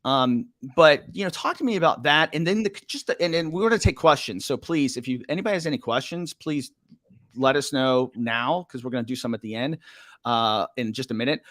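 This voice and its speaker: An enthusiastic middle-aged man hosts a podcast chat, his voice mid-pitched. The sound is dry, with no room echo. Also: brisk, a bit bright. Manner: enthusiastic, with restarts. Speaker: podcast host. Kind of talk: conversational interview hosting.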